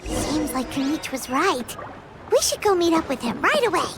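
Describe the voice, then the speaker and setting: A tiny floating fairy companion from a fantasy game. The voice is extremely high and childlike, with excitable, swooping delivery over clearly audible music. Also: female voice, moderate pace. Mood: questioning. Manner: expressive, childlike. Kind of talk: video game voice acting.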